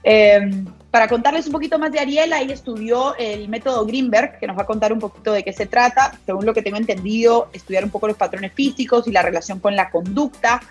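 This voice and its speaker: A woman's voice: fairly high pitch, fast talker, soft background music. A woman hosting a podcast, introducing her guest and asking curious, enthusiastic questions with plenty of fillers. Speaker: podcast host. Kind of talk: casual interview hosting.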